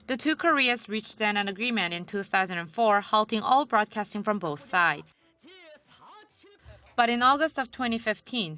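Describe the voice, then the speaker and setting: A woman's voice: medium pitch, sharp tone. A woman reporter reading a scripted news feature narration.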